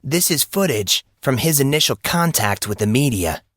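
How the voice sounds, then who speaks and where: Guy acting as a serious documentary voice-over man in an audio drama, formal, measured, mid pitch, dry sound. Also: animated, a bit bright, no echo. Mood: grave, formal. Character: documentary news narrator, formal and grave, male.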